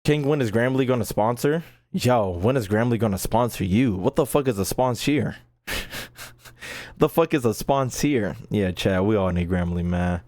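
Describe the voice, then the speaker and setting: A man's voice: lowish voice, normal speed, a little mellow. Slangy male game streamer, repetitive and profane. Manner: stumbles often when reading aloud; slangy, profane, repeats phrases emphatically. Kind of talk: casual livestream commentary, reading game text aloud and chatting.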